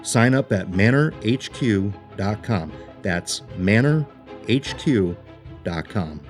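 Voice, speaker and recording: Promo announcer, male, lowish voice, scripted read, light music bed. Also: lively intonation, slow.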